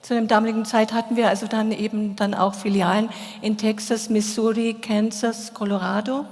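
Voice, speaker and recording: A woman's voice: medium pitch, level tone, fairly quick, darkish tone. A woman working as an interpreter, rendering a speech into German at a congress. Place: large hall. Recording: long hall reverb.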